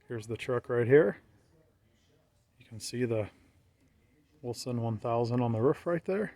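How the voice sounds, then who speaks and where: A man's voice: mid-range voice, animated, a little slow, mellow, no room sound. Man giving a plainspoken hands-on walkthrough. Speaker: vlogger showing a vehicle radio installation. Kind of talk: casual hands-on walkthrough narration. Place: outdoors around and inside a parked truck.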